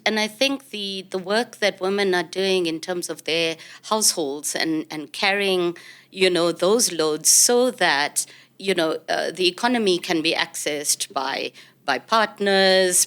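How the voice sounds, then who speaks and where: A woman guest in an interview, speaking in a measured, thoughtful way with small hesitations. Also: lowish voice, fairly bright tone, smooth. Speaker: guest, CEO. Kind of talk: interview conversation.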